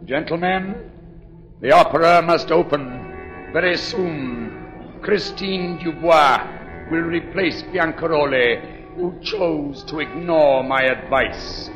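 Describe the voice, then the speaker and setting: Over music on a muffled 1940s radio broadcast, a masked phantom killer. He speaks in a slightly high male voice, ominously polite and theatrical. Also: slow pace. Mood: coldly commanding.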